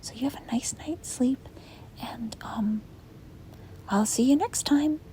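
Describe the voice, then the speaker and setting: Woman playing a whispering, hesitant, gentle demon girl. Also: high-pitched, slow, poor audio. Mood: encouraging. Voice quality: breathy whisper. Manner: hesitant, rambling, soft-spoken. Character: gentle, apologetic, reluctant young female sleep paralysis demon. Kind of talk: whispered ASMR roleplay. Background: rain and wind.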